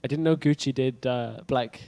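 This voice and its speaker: Wry, teasing esports caster. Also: male voice, mid-range voice, moderate pace, vocal fry, a little mellow. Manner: wry, teasing, upbeat filler talk. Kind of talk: casual caster banter. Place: event stage in a venue.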